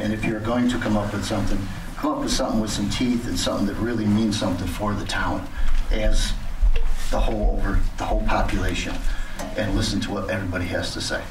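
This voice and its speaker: A man's voice: lowish voice, steady tone, fairly quick. Guy at a town meeting speaking off the cuff, insistent, echoey room, kinda far from the mic. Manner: insistent, plain-spoken. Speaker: resident addressing a town board at a public meeting. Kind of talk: impromptu public comment. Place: community center meeting hall. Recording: roomy, distant, noisy meeting-hall recording.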